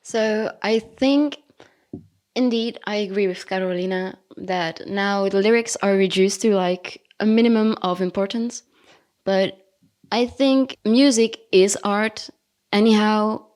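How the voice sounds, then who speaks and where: A woman's voice: medium pitch, measured pace, a little mellow. Thoughtful young woman student. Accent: Spanish. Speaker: student panelist. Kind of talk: student podcast discussion.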